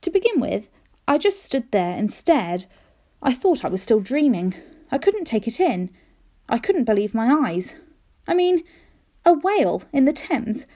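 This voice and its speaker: A woman reading a children's storybook aloud in an expressive, careful storytelling manner, with dramatic emphasis. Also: a little high, unhurried. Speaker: teacher reading aloud to pupils.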